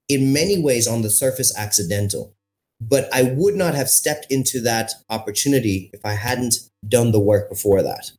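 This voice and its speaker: A man in his thirties from India, a podcast guest telling his story in an animated way, with emphatic phrasing and pace that keeps shifting. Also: mid-range voice. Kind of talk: storytelling interview answer.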